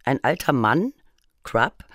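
A man's voice: medium pitch, big pitch swings, slow, fairly bright tone, dry recording. A man reading scripted documentary narration for a radio feature in a studio.

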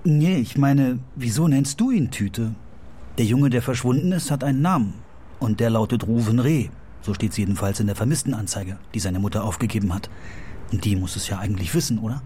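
Mellow patient police officer. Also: male voice, mid-range voice, lively intonation, a little fast, slightly rough. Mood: puzzled, insistent. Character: patient male police officer questioning a child. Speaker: actor. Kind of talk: naturalistic radio play dialogue.

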